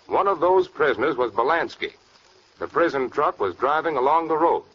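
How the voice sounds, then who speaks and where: An actor playing a sheriff who narrates a manhunt as scripted 1940s radio crime drama. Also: male voice, fairly high pitch, lively intonation, moderate pace, husky. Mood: grave, factual. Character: male Southern sheriff recounting a manhunt. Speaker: case narrator. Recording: muffled old radio recording.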